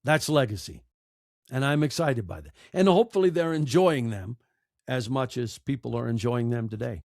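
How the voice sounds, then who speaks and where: A man in his sixties with an American accent, giving reflective, earnest interview answers in short, emphatic, deliberate phrases. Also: medium pitch, animated, a little mellow, no echo, clean studio sound. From United States. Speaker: podcaster and storyteller, interview guest.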